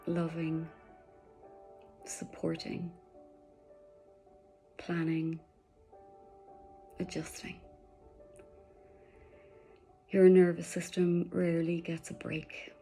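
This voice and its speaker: A woman leading a guided meditation in a soft, soothing voice, slipping at times into breathy whispers. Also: lowish voice.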